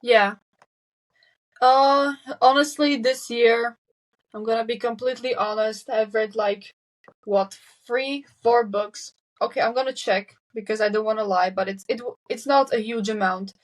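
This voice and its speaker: A woman's voice: on the high side, fairly even intonation, poor audio. A seventeen-year-old Slovak girl, speaking English as a second language, answering interview questions candidly and correcting herself as she goes. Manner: candid, self-correcting, quick nervous laughs. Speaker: guest. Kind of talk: casual interview chat.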